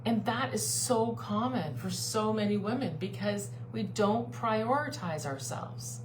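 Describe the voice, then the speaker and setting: Woman, life coach, mid-pitched, earnest and persuasive, live stream with a steady hum behind her. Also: unhurried. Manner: earnest, persuasive, repeats key phrases. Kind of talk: live-streamed talk to a online group.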